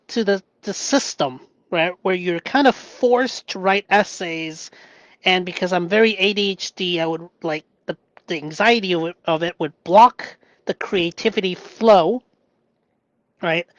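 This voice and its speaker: A man from Hong Kong whose first language is Cantonese, talking through his past in a halting, reflective monologue, often checking in with "right?". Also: sits high, no room sound, poor audio. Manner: halting, searching for words, often asks "right?". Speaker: vlogger.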